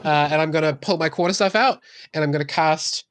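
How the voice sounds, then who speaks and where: A woman's voice: sits low, fairly quick. A woman playing a tabletop role-playing game. She speaks in a casual, improvised way, with dry, joking delivery. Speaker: tabletop RPG player.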